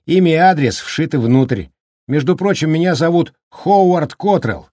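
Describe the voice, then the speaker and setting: Guy putting on a jolly chatty plow driver, way higher than the narrator, folksy and jokey, dry close mic. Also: animated, darkish tone, no echo, close to an everyday voice. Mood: cheery, chatty. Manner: brisk, folksy, joking. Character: cheerful talkative middle-aged male snowplow driver.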